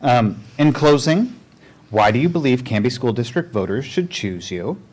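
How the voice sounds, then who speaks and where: A man's voice: medium pitch, lively intonation, normal speed, a little mellow. A man who directs the local chamber of commerce, asking a closing question in a courteous, appreciative way.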